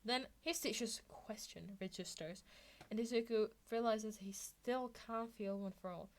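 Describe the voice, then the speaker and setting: A woman's voice: a little high, unhurried. A woman reading fan fiction aloud as a narrator. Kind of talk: story narration.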